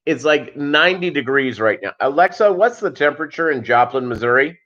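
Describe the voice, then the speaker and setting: A man hosting a casual live chat, rambling along with joking, deadpan asides. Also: a little high, fairly quick. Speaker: host of a live fishkeeping chat show. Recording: dull lo-fi livestream audio.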